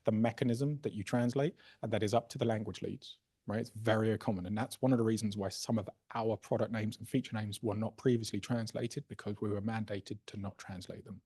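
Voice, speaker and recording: A man presenting a software workshop, casually explaining a live demo and often checking in with "right?" and "okay?". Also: on the low side, slightly rough. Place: workshop room.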